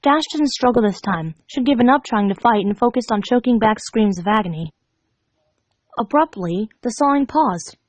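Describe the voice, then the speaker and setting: A woman's voice: on the high side, animated, moderate pace. A woman reading a story aloud as an amateur narrator, dramatic, now and then stumbling over the words. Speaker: fan fiction reader. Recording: dull, echoey home recording.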